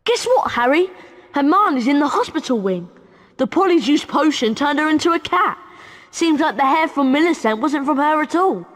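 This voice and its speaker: A chatty schoolboy wizard in a video game. The boyish voice is high and lively, ringing with long reverb. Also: female voice, moderate pace. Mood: gossipy.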